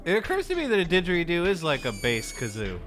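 A man's voice: high voice, huge pitch swings, fast, fairly bright tone, soft background music. A man co-hosting a podcast, chatting casually and comedically. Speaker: podcast co-host.

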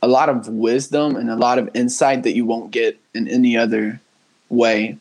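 A man's voice: medium pitch, steady tone, moderate pace, darkish tone. A young man in his twenties, raised in Honduras, talking casually and earnestly as a podcast guest, with frequent fillers and restarts. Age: 20s. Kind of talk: casual conversational interview.

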